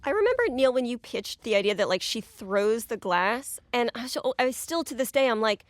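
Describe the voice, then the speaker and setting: A woman's voice: a little high, expressive, fairly quick, no room sound. A woman giving casual commentary in a group discussion. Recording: music behind the voice. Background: loud music.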